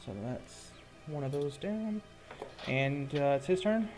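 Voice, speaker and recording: Higher-voiced man narrating tabletop combat. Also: expressive, slow, music in the background. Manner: vivid, gory descriptive narration broken by rules talk. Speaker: game master.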